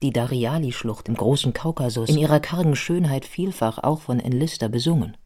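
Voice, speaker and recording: A man playing a reflective biographer who narrates a radio play in sober literary prose, with a husky voice. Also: mid-range voice, brisk, darkish tone, natural voice. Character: reflective biographer recounting a life with sober sympathy. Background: music.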